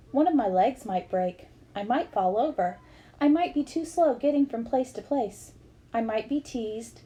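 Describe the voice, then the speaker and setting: A woman's voice: a little high, mellow, rough recording. A woman reading a memoir aloud as scripted storybook narration. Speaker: reader of a book aloud.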